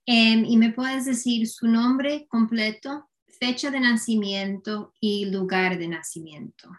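A woman's voice: on the high side, slow. A woman conducting a formal interview, asking questions politely and carefully, with frequent fillers between them. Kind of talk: structured oral-history interview. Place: Connecticut, United States.

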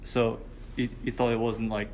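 A young man, a student, interviewing a classmate and asking his questions hesitantly, with many pauses and restarts. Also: mid-range voice, flat delivery, slight background noise. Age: young adult. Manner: hesitant, full of ums and restarts. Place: hotel meeting room.

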